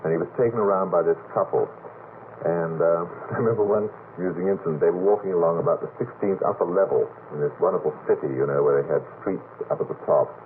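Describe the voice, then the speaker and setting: A low, deep male voice comes through a narrow, hissy old radio recording. He is middle-aged and talks conversationally in a halting, rambling way, doubling back on words and asking if he is being followed. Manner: halting, repeats words, seeks agreement. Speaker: radio panel talk participant. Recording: noisy vintage late-night radio, narrow tinny band.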